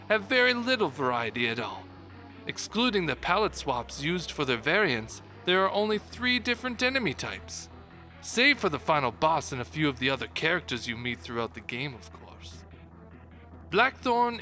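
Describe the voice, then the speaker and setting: A man's voice: a little high, bright, forceful tone. A man giving a dramatic, mock-grand reading of a scripted game review. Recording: music behind the voice. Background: background music.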